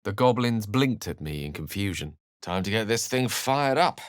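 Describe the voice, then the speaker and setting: Guy doing a bookish wizard from a video game, deep voice, wry and fancy talker, really dry close recording. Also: expressive, moderate pace, no room sound, natural voice. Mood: measured, wry. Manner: eloquent, wry, refined wording. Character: eloquent, theatrical, bookish male wizard adventurer. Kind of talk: video game character dialogue and narration.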